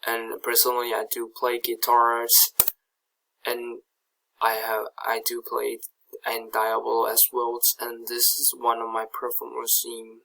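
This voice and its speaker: A man's voice: medium pitch, monotone, measured pace, gravelly, bright, forceful tone, slight background noise, poor audio. A young man from Taiwan, a native Chinese speaker with a Taiwanese accent, giving a slide presentation in a hesitant way, with many fillers and restarts. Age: young adult. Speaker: student presenter.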